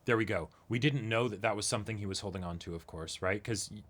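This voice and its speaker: A man's voice: on the low side, quick pace, fairly bright tone. A man co-hosting a casual, analytical discussion, often checking in with his listener as he goes. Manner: checks in often with "right?" and "you know". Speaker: co-host of an anime reaction show.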